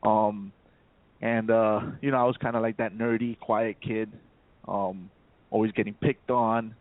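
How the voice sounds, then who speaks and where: A man's voice: fairly low pitch, little pitch movement, unhurried. A man from the South Bronx, a rapper speaking as a guest in a casual radio interview, repeating words and leaning on "you know". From South Bronx, New York. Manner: stammering repeats, many "you know" fillers. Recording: tinny phone line, dry.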